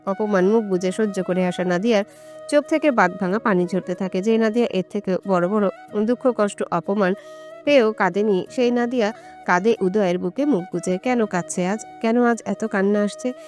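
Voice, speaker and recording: A woman tells a romantic story in scripted, dramatic narration. Her voice sits at a middle pitch, with music playing underneath. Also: moderate pace, a little mellow.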